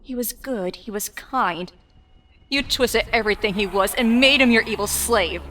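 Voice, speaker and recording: A woman's voice: a little high, normal speed, sharp tone, slight background noise. A woman playing a fierce young fighter in a confrontational scene of a dramatic audio drama. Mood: anguished anger. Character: fierce young women, a hero and an alien villainess.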